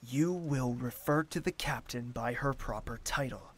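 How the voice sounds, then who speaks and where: A man's voice: mid-range voice, a little slow, a little hiss or hum, natural voice. A man playing a haughty, wounded royal ruler in an audio drama, speaking formally and imperiously. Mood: stern, accusing.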